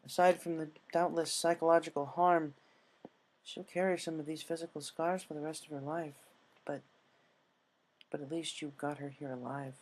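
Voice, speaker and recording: A man voicing a solemn, apologetic female nurse pony with a gentle, hesitant, grave delivery. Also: fairly high pitch, flat delivery, moderate pace, lightly acted, rough recording.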